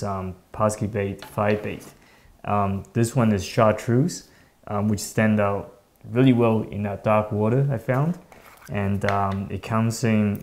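A man in his thirties hosts a fishing show from a bright indoor studio. He talks in a relaxed, hesitant way, full of ums, and his sentences often trail off softly. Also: fairly low pitch. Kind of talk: casual gear explanation.

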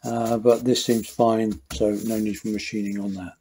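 A man's voice: lowish voice, level tone, normal speed, mellow, slight background noise. A man explaining a motorcycle engine rebuild as he works, thinking aloud with fillers and restarts. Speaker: motorcycle mechanic. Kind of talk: hands-on workshop commentary.

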